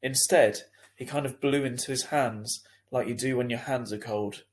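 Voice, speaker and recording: A man narrating a children's book as a teacher reading aloud, deliberate and expressive. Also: medium pitch.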